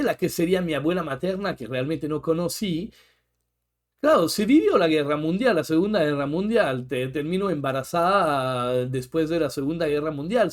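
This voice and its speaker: Guy, highish voice, thoughtful podcast co-host, restarts here and there. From French-speaking country. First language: French. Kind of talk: reflective conversation.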